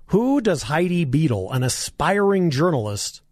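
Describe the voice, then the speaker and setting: Hoarse male talk radio host, combative and sarcastic, voice pitched a bit high, dry studio sound. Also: sing-song, measured pace, a little mellow, no room sound. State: hoarse, coughing.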